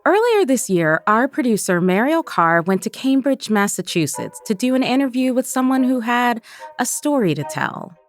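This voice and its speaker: A woman hosting a podcast, reading scripted narration with a clear storytelling delivery. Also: fairly high pitch, big pitch swings, normal speed, soft background music. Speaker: podcast host.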